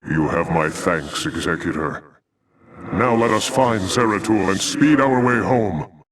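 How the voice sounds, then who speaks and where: A man's voice: low voice, a little slow, a bit bright, recorded from a distance. A man voicing a noble, defiant alien templar commander in a video game, with solemn, dignified, formal delivery. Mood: grateful.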